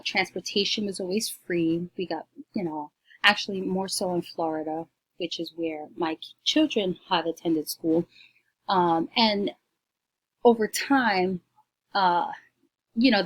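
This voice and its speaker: A woman, giving a class presentation at home with many ums and pauses; she is shy on camera. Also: mid-range voice, breathy edge, dry recording, poor audio. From New York, United States. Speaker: student presenter.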